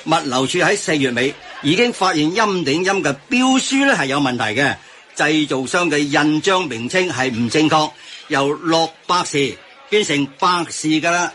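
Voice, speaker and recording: A man's voice: on the high side, expressive, normal speed, bright, forceful tone, faint music behind. A man with a Hong Kong accent acting a well-informed friend who patiently explains news in a radio drama. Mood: explanatory.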